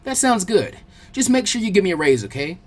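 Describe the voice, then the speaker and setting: A man voicing a friendly, bookish teenage girl who is chatty, practical and gently bossy, in a story reading. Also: sits high, big pitch swings, fairly quick. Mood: teasing.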